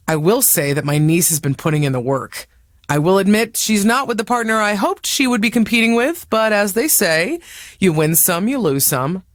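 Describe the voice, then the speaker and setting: An actress playing a playful middle-aged aunt and former pro wrestler in video game dialogue, chatty and teasing. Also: female voice, mid-range voice, dry recording, clean studio sound.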